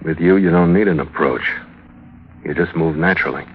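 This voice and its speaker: An actor as Phil Kearney, wry radio adventurer. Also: male voice, low-pitched, a little fast, a little hiss or hum, natural voice. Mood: flirtatious. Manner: dry, wry, laconic. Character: Phil Kearney, cool wisecracking ship's master, first-person narrator. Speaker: lead actor and narrator. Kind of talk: hardboiled old-time radio adventure acting. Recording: muffled old radio recording with music.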